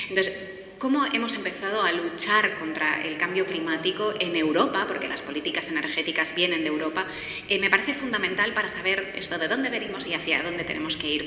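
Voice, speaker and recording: A middle-aged woman from Galicia with an accent from Spain, giving a semi-formal public talk in an explanatory, structured way with hesitant pauses. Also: fairly low pitch, sharp tone. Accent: Spanish from Spain. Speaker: lawyer giving a forum talk. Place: indoor forum hall. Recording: echoey hall, faint noise, roomy.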